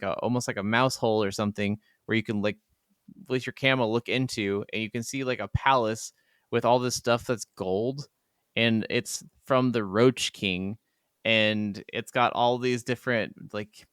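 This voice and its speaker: A man's voice: medium pitch, moderate pace, a bit bright, clean tone, no room sound, clean studio sound. A man co-hosting a gaming podcast, talking in a casual, rambling way with plenty of filler "like". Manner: rambling, peppered with "like". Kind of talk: casual chat about video games.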